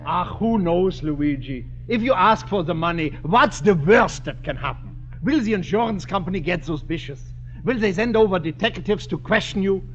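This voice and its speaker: An actor playing a mischievous German immigrant classmate, sardonic and joking, with a German accent in a 1950s radio sitcom. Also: male voice, high-pitched, lively intonation, moderate pace. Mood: gleeful needling. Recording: muffled, noisy old radio recording.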